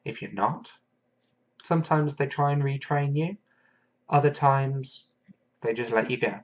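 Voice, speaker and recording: Earnest man reflecting on his podcast. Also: mid-range voice. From England. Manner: earnest, thoughtful, pausing with fillers. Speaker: podcast host. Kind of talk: reflective solo podcast monologue.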